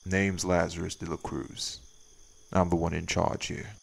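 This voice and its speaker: A man's voice: deep voice, flat delivery, a little slow, gravelly, a little hiss or hum, full character voice. A man voicing a stern, weary wraith priest in an audio roleplay, with a laconic, folksy way of talking. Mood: guarded, stern. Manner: laconic, folksy, drawled nonstandard grammar. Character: stern, weary male wraith priest guarding his town. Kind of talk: scripted audio roleplay acting.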